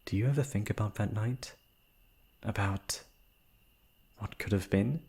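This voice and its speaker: A man's voice: lowish voice, unhurried, creaky, darkish tone, breathy edge, full character voice. A man acting a shy, proud, wistful noble prince in a romantic fantasy roleplay, with hesitant, formal, sighing speech. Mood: wistful, teasing.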